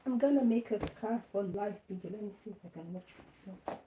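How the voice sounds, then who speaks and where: A woman talks through her sewing live at home, her voice hoarse and croaky. The room sounds echoey, and a faint hiss of noise runs underneath her. She chats away to herself and the viewers as she works. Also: mid-range voice, brisk. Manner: chatty, talks to herself while working. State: hoarse, lost voice. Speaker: sewing vlogger livestreaming. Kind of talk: casual live chat while sewing. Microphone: phone or camera set up nearby.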